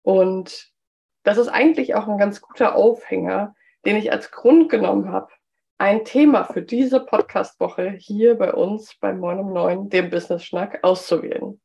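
A woman hosting a solo business podcast, slightly hoarse from a cold and chatty, with frequent filler sounds. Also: mid-range voice, unhurried, mellow. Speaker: podcast host.

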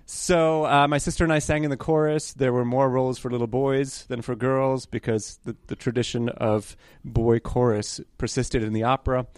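A man's voice: medium pitch, fairly even intonation, moderate pace, hardly any pauses, darkish tone, smooth, no echo. Young man from New York, candid and rambling, dry close sound. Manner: chatty, self-correcting, apologetic asides. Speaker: guest conductor candidate. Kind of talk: conversational storytelling interview.

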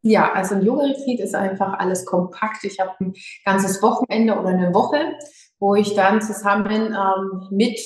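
A yoga teacher from Lower Franconia, talking as a guest in a hesitant, slightly nervous way with many fillers. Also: female voice, fairly even intonation, normal speed. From Lower Franconia, Germany. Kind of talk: casual interview. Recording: echoey room.

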